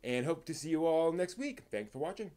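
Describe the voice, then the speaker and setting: A man's voice: fairly high pitch, lively intonation, fairly quick, warm tone. Man casually hosting an American news stream. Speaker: live stream host. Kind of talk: casual anime news rundown on a live stream.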